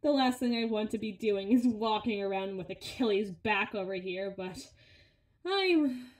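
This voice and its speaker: A woman's voice: medium pitch, animated, a little slow, natural voice. A woman playing a brawny, sardonic henchwoman who grumbles and teases in an intimate audio roleplay, with a conversational, sarcastic delivery. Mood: flustered, teasing. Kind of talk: ASMR audio roleplay, intimate one-sided dialogue. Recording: roomy, echoing voice recording.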